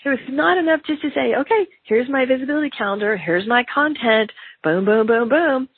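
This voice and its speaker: A middle-aged woman with a very husky, gravelly voice from a slight cold hosts a business podcast solo. She talks in an animated way, popping into lively voices when she quotes people. The recording is dry and narrow, like a phone line. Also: high voice, fairly quick, no echo. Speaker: podcast host.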